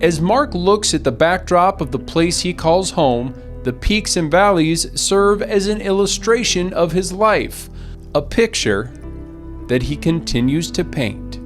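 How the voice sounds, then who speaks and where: A man's voice: high voice, animated, unhurried. A man with an American accent reading scripted narration for a sports feature. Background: background music.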